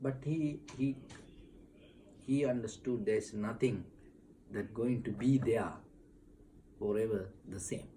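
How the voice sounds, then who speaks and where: A man, a Buddhist monk being interviewed in a temple, explaining slowly with many fillers and restarts. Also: on the low side, mellow, a little hiss or hum, rough recording. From Sri Lanka.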